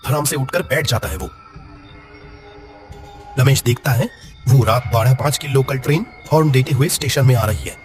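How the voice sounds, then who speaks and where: A man's voice: medium pitch, moderate pace, pauses often, soft background music. A man narrating a scripted horror story dramatically.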